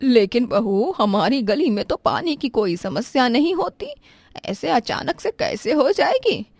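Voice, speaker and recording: A woman's voice: fairly high pitch, very animated, a little slow, no echo, exaggerated voice. A woman voicing a kindly, meek older mother-in-law who pleads affectionately in a family moral story. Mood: pleading. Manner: pleading, affectionate, self-effacing.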